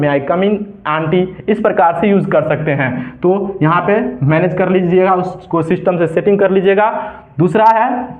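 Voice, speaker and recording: A man from India teaching spoken English, explaining step by step and repeating example phrases slowly. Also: sits high. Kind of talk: spoken English lesson in Hindi. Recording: echoey room, tinny phone-like sound.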